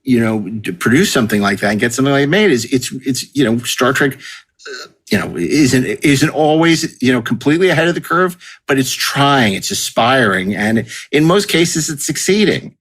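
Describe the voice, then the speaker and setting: A man's voice: medium pitch, lively intonation, moderate pace, fairly bright tone, breathy edge. A man hosting a casual panel discussion, opinionated and conversational in how he talks.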